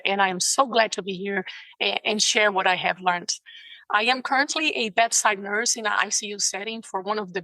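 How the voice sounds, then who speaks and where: A woman's voice: medium pitch, sharp tone, no room sound, poor audio. A middle-aged woman from Brazil with a Brazilian Portuguese accent, a nurse guest speaking earnestly in a podcast interview. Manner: earnest and heartfelt.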